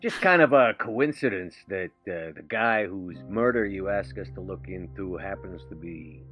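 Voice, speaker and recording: A man's voice: sits low, lively intonation, normal speed, fairly bright tone, soft background music. A man playing a tabletop role-playing game, speaking casually in and around his character, on a dull-sounding microphone. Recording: dull, band-limited close microphone.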